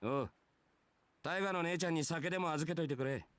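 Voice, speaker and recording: A man voicing a brash, laid-back young warrior with rough, casual speech in a Japanese anime-style game. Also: a little high, very fast, a bit bright, no room sound, natural voice. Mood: offhand.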